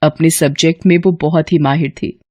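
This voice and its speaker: A woman narrating a scripted bedtime story in a steady, even manner. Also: low-pitched, normal speed, dry recording.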